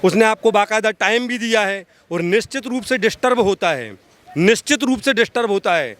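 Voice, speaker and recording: A man's voice: high voice, expressive, fairly quick, a bit bright. A man giving impassioned political opinion in an oratorical, emphatic way, repeating phrases for stress. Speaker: social activist giving street interview.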